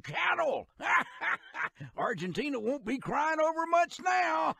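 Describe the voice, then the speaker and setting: An actor voicing a folksy, excitable old-timer railroad guide in a video game, with a rural American accent, a creaky voice and a colloquial, theatrical manner. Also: male voice, extremely high pitch, slow, full character voice. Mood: gleeful.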